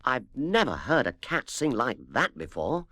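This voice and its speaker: A man's voice: mid-range voice, big pitch swings, a little slow, sharp tone, slightly rough, no room sound, studio quality, heavily acted. Man voicing a curious, earnest young storybook boy. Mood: amazed. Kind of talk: children's storybook character voice.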